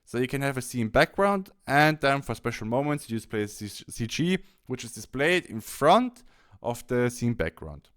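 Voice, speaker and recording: A man narrating a software tutorial, explaining things step by step. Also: mid-range voice, big pitch swings, normal speed. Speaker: tutorial host. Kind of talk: software tutorial narration over screen recording.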